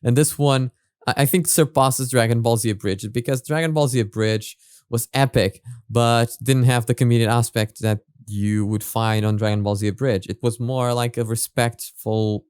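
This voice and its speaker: A man's voice: on the low side, steady tone, normal speed, darkish tone, smooth, no echo, clean studio sound. A man hosting a reaction video, talking casually and analytically, thinking aloud with hesitant, repeated phrases.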